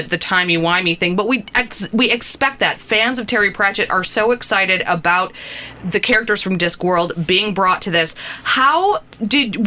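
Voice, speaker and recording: A woman hosting a radio interview, her voice bright and clear. She gushes with a fan's enthusiasm as she asks her questions. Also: mid-range voice. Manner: enthusiastic, gushing fan. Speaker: radio host.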